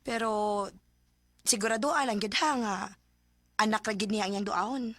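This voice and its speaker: An outspoken female friend in a Cebuano radio drama. Her voice is very high and closely recorded with no room sound. She is nosy and openly skeptical. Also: normal speed, fairly bright tone, no echo, clean studio sound. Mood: suspicious, scolding. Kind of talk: Filipino radio drama acting.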